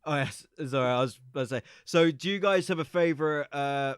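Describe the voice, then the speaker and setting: A man hosts a casual podcast interview in a relaxed, musing tone. His mid-pitched voice is smooth, and the mic is close and dry. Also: brisk, no room sound. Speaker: podcast host.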